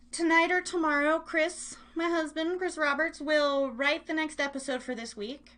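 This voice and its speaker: Woman podcast host, high pitch, casual live chat, rambling, self-deprecating, talkative. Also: bright, forceful tone. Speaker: podcast and internet radio host.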